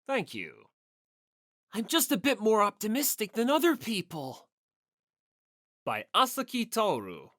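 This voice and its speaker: Expressive, unhurried audiobook narrator with close dry sound. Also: mid-range voice, dry recording. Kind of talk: scripted manga and fan fiction reading.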